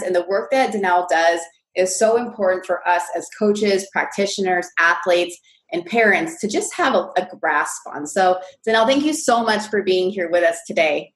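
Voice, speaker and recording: A woman's voice: medium pitch, poor audio. A woman hosting a podcast, upbeat and enthusiastic as she introduces the show and her guest. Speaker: podcast host, coach.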